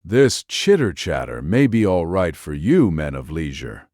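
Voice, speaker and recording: An actor playing a brisk, sardonic male medical examiner in an audiobook drama, grumbling in a dry, grim manner. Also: sits low, very animated, darkish tone, dry recording, full character voice.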